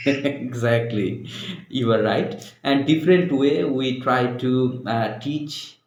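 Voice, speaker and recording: Bangladeshi-accented man, english trainer, unhurried halting speech, fillers, roomy echo. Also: mid-range voice, a little mellow, a little hiss or hum. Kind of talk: unscripted conversation. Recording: echoey room.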